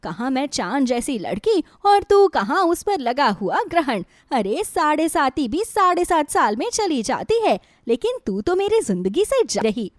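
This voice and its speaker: A woman's voice: high voice, big pitch swings, a little slow, few pauses, no room sound, studio quality. A woman voicing a haughty, cruel young elder sister-in-law in a moral storybook, bossy, taunting and scornful. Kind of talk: dramatized Hindi moral storybook.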